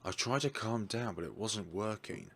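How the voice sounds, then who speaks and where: A man's voice: on the low side, expressive, moderate pace, a bit bright, a little hiss or hum. A man reading a visual novel's narration aloud in a tense, anxious manner.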